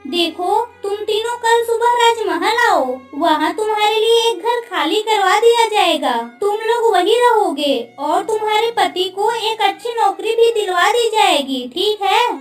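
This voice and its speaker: Lady doing a super high pitched cartoon queen, motherly n regal, echoey with light music. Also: lively intonation, a little slow, hardly any pauses, distant mic. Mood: caring. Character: tender, regal queen mother, grieving for her lost daughter. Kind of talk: Hindi cartoon story dialogue.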